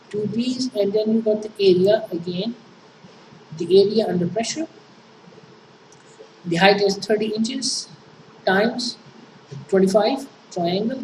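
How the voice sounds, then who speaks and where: A woman with a Pakistani accent lectures on engineering problems, pausing often on fillers and restarting her sentences. She sounds far from the microphone in an echoey room, with clear noise behind her. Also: mid-range voice, darkish tone. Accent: Pakistani English. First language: Urdu. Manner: halting, many fillers and restarts. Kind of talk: classroom lecture explaining worked problems. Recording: echoey room, distant mic, noisy.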